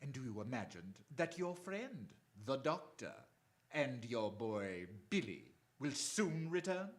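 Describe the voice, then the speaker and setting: A man playing a menacing, coldly polite criminal mastermind in a radio drama, speaking formally and deliberately. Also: mid-range voice, big pitch swings. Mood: threatening. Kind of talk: stage-style radio drama acting.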